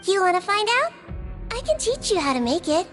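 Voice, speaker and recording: A woman playing a small, gentle and earnest girlish nurse in a fantasy video game. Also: very high-pitched, sing-song, fairly quick. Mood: cheerful, instructive. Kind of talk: scripted video game character dialogue. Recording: game music behind the voice.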